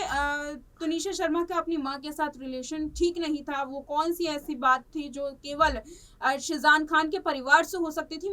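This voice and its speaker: High-pitched woman presenting news. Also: a bit bright. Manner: halting, repetitive, stretches words while searching for the next. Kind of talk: unscripted news commentary on a celebrity case. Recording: distant microphone.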